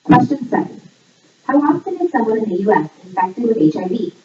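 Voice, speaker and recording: A woman hosting a trivia game in a scripted voice-over, reading questions and answers in a measured, item-by-item way. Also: very animated, mellow, slight background noise. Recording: echoey, distant, muffled low-fidelity playback. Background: voice audio played back in the room while filming.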